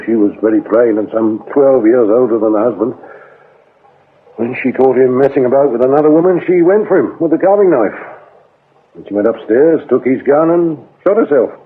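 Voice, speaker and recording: An actor playing a shrewd, scornful British police inspector interrogating in a radio drama, sardonic and accusing. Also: male voice, mid-range voice, breathy edge. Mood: measured, grave. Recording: lo-fi, narrow-band radio drama recording.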